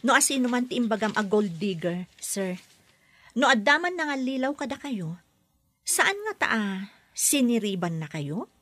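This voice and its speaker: A woman's voice: on the high side, big pitch swings, moderate pace, fairly bright tone. An actress playing a young woman working as a nanny abroad, firm and defiant toward her boss, in a radio drama. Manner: firm, pushes back politely.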